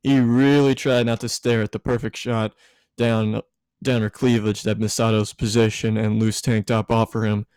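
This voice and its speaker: A man's voice: on the low side, little pitch movement, normal speed, darkish tone, clear, resonant tone, no room sound. Man reading fan fiction aloud, dry sound. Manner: stumbling, self-correcting reading. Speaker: amateur fan fiction reader. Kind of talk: story narration, read aloud.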